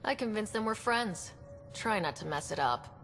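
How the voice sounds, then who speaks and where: A determined young woman explorer in a video game. She talks in a direct, assured way at a middle pitch, with music underneath. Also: animated, moderate pace, a bit bright. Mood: casual, upbeat. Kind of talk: video game cutscene dialogue.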